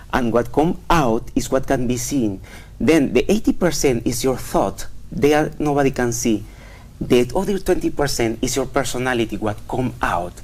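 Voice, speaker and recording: Man, interview guest, reflective, mid pitch. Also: darkish tone. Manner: reflective, hesitant with fillers. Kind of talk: casual interview.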